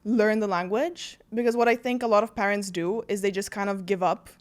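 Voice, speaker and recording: A woman whose native languages are Polish and Spanish talks as a podcast guest. Her delivery is conversational and informal, explaining things with plenty of "like" along the way. Her pitch is average for a woman. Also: flat delivery, brisk. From Bulgaria and Czech Republic. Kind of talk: casual conversational interview.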